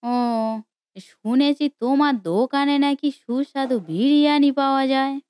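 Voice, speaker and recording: A woman's voice: high-pitched, fairly even intonation, normal speed, a little mellow, dry recording, studio quality, close to an everyday voice. A woman voicing a fussy, insistent old granny with folksy speech in a cartoon story. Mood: curious, fussy. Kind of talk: Bengali cartoon story voice acting.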